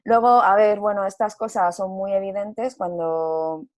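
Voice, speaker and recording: A woman's voice: medium pitch, fairly even intonation, measured pace, a little mellow. A woman with a Spanish accent from Spain, talking casually and expressively as an interview guest.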